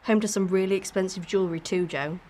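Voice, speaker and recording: A woman acting in a radio drama as a cool, sharp-tongued, defiant professional thief with a dry, sarcastic manner. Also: mid-range voice, fairly even intonation, fast talker, close to an everyday voice. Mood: challenging.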